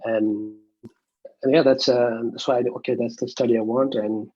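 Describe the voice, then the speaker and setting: A young man from Nepal, talking as an interview guest in a halting way, full of fillers and restarts. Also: medium pitch, little pitch movement, darkish tone, dull audio. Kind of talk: casual interview over a video call.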